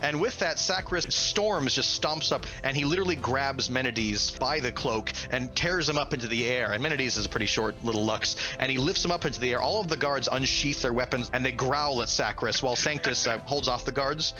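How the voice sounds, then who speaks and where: A man's voice: mid-range voice, a little fast, hardly any pauses, music in the background, poor audio. A man narrating a tabletop fantasy game as game master, telling it dramatically with casual asides.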